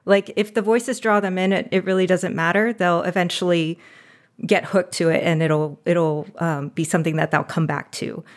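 A woman's voice: on the low side, fairly even intonation, normal speed. A woman guest on an interview podcast, talking casually with lots of fillers and laughs. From United States. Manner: chatty, laughs often, many fillers and restarts. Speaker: guest, audio drama producer.

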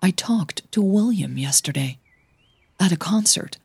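Woman as an earnest, low-voiced female coach. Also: animated, a little slow, gravelly, a little mellow, breathy edge, no echo, clean studio sound, full character voice. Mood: insistent. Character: earnest, cautioning female coach, hesitant but persistent.

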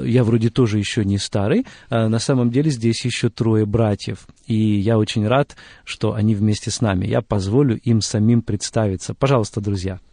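A man hosts a radio interview in a conversational way. His voice is mellow and relaxed, recorded dry and close in a studio. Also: fairly low pitch, no echo. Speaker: radio host.

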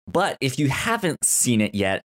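A man hosting a podcast in casual TV review chat, with a halting, stammering delivery that often repeats words. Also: medium pitch, very animated, a bit bright. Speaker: podcast host.

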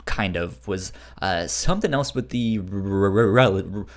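A man's voice: fairly low pitch, expressive, a little slow. Stuttering man in casual discussion. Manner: frequent stuttering, repeating sounds and syllables. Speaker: podcast co-host.

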